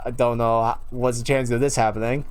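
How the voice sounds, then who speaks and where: Smooth-voiced young man chatting on stream. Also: medium pitch, little pitch movement, a little fast. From United States (New York). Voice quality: slight unusual lilt left from a childhood speech impairment. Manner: rambling, loses his train of thought, chuckles often. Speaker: live streamer. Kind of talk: casual rambling chat to viewers.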